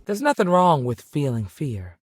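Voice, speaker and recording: A woman's voice: deep voice, sing-song, moderate pace, dry recording, clean studio sound. A woman playing a strict, principled frontier ranger in a video game, plain-spoken and authoritative with a folksy turn. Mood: steady, firm. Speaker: video game character actor. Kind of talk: scripted game dialogue.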